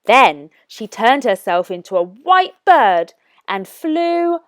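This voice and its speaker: A woman reading aloud as a children's storytime narrator, with expressive, careful and deliberate phrasing. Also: a little high, smooth, dry recording. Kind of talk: scripted storybook read-aloud for young children.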